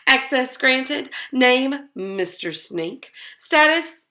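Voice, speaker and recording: Slow, bright-voiced woman reading a storybook. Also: high-pitched. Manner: deliberate, animated character reading. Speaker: storybook reader. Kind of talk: expressive children's read-aloud.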